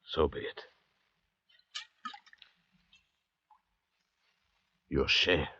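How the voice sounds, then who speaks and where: An actor playing a calculating, treacherous doctor adrift at sea, theatrical and controlled, in 1940s radio style. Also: male voice, moderate pace, sharp tone, natural voice. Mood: wary, persuasive. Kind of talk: 1940s radio drama acting. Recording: muffled restored 1940s radio recording.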